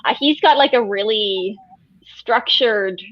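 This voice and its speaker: A woman's voice: medium pitch, slow, no room sound. A woman hosting a casual book chat on a video call, rambling and restarting her thoughts. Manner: rambling, restarts, self-deprecating laughs. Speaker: book channel host. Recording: dull lo-fi sound.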